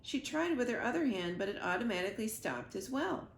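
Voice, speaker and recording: A woman storyteller giving an expressive read-aloud of a children's book. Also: fairly high pitch, a little fast, vocal fry. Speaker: library storyteller. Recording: roomy echo, faint background noise.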